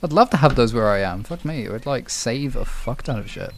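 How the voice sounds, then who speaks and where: Animated British man with a creaky voice. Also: mid-range voice, a little fast. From United Kingdom. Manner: informal, sweary, rambling. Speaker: livestream host. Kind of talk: casual livestream chat, replying to viewers.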